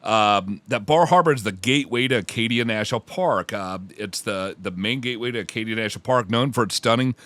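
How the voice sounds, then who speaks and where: A man's voice: on the low side, a little fast, few pauses, fairly bright tone, no echo. A man with a Kentucky accent hosting a casual travel podcast, rambling a little and reading facts off his computer. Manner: rambling, restarts, reads facts aloud. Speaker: podcast host. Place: home theater room.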